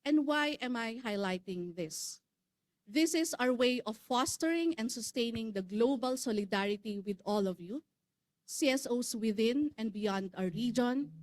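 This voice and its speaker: A woman from the Philippines, a regional network's secretary general, giving a formal welcome speech at a conference with some fillers and restarts. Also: on the high side. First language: Filipino. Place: conference hall.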